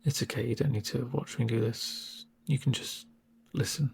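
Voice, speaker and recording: Guy acting as a calm asmr medic, super breathy n soft, bit of creak, gentle w dry humour. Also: mid-range voice. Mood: soothing. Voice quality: breathy, near-whispered. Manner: gentle, unhurried, reassuring murmur. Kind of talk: soft-spoken ASMR roleplay.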